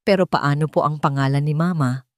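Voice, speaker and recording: A woman voicing a principled young daughter-in-law in an audio drama, respectful but defiant, steady and earnest. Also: deep voice, a little fast, dry recording, studio quality, close to an everyday voice. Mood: pleading defiance.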